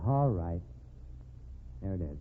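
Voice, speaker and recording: An actor playing a defiant, crooked blackmailer in an old-time radio crime drama, tough-talking and sneering. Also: male voice, low voice, lively intonation, takes it slowly. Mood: grudging. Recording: muffled tinny old radio recording.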